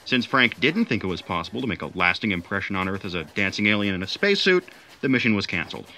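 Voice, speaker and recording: A man's voice: on the low side, big pitch swings, fast, sharp tone, a little hiss or hum, full character voice. A man playing a matter-of-fact storyteller in a comic sci-fi audio drama, dry and explanatory. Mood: recounting. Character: matter-of-fact male storyteller recounting an alien's backstory.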